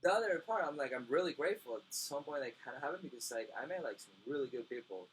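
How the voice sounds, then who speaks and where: A man's voice: medium pitch, lively intonation, a little hiss or hum, poor audio. A young man from Costa Rica and Venezuela, a poker player chatting casually as an interview guest at home, rambling with lots of "like". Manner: fast, rambling, many fillers "like". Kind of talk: casual chat.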